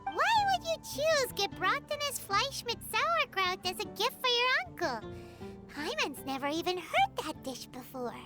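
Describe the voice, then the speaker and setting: Brief: tiny excitable fairy sidekick for a game, squeaky, piping high. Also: female voice, measured pace, loud music behind the voice, full character voice. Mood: curious. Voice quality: squeaky, childlike. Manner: excitable, refers to herself in third person. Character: tiny chirpy fairy girl companion.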